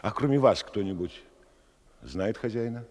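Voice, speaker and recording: Actor for male interrogator: low voice, expressive inflection, measured, probing and dryly ironic, dramatic scene. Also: close to an everyday voice. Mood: measured, pressing. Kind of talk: theatrical dramatic acting in Russian. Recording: long reverberant hall echo.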